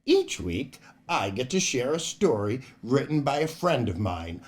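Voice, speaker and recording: Animated older man hosting children's storytime. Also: mid-range voice, a little slow. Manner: playful, exaggerated, often chuckling at own jokes. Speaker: children's entertainer and storytime host. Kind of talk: animated children's show hosting and read-aloud.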